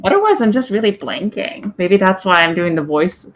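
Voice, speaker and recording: A woman with a mid-pitched voice talks casually and a little unsurely on a group panel. She is on an online call with faint background noise. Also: lively intonation, moderate pace. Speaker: voice actor on a panel.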